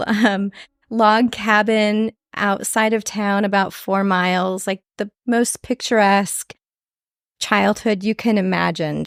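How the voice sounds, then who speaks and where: A woman from Montana, a guest author telling her story in a measured, descriptive way. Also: mid-range voice, clean tone, no room sound, studio quality. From Montana, USA. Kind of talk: interview storytelling.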